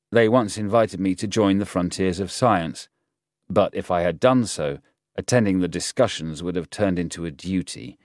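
A man's voice: sits low, darkish tone, no room sound, clean studio sound. A man voicing a guarded, restrained professor in an audiobook, answering questions carefully. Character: guarded, restrained male applied-research professor.

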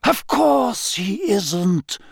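A man's voice: fairly high pitch, sing-song, unhurried, darkish tone, dry recording, studio quality, voice pushed up. A man voicing a dramatic, mystical woman divination teacher in a storybook, with a theatrical, eager, portentous manner. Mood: excited, eager.

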